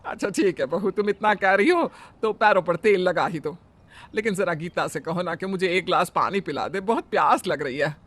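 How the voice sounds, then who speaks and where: A man's voice: high voice, normal speed, a bit bright. A man voicing a fussy, bossy middle-aged mother-in-law who scolds and complains. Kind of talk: Hindi family drama voice acting.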